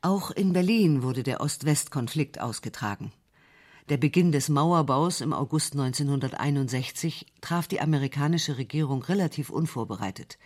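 A man reading a history documentary script in a clear, composed way. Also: fairly high pitch, moderate pace, darkish tone. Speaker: documentary narrator.

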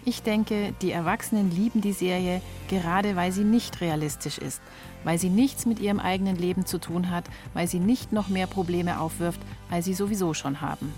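A woman's voice: on the low side, normal speed, darkish tone, soft background music. A woman delivering scripted, personal narration as the author of a radio feature.

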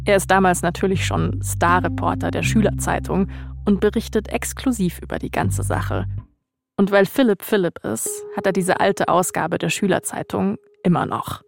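A woman's voice: fairly low pitch, animated, normal speed, vocal fry. A woman hosting and narrating a scripted podcast story. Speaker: narrator, host.

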